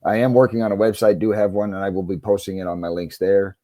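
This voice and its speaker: Deep, mellow middle-aged man. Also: little pitch movement, fast talker, no echo, studio quality. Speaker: interview guest, basketball coach and author. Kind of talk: conversational interview answers.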